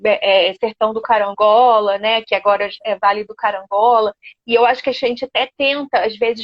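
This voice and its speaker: A woman interviewing, speaking reflectively and hesitantly with many fillers. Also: fairly high pitch. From Brazil. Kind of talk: conversational interview. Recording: dull lo-fi sound.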